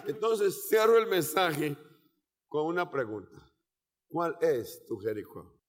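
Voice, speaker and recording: A man's voice: a little high, sing-song. A man with a Portuguese accent delivers a sermon in a slightly raised voice, taking his time over each phrase. His words ring out with the long reverb of a large hall. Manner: slow, deliberate preaching with measured pauses. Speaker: missionary preacher. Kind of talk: sermon with personal testimony.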